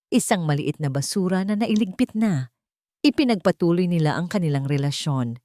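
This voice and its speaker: Low-pitched Filipino woman narrating a dramatic story. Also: mellow, no room sound, clean studio sound.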